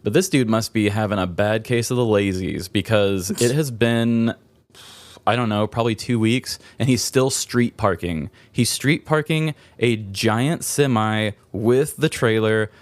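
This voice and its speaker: Low-pitched man chatting casually. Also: unhurried, no echo. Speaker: podcast co-host. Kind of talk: casual anecdotal chat.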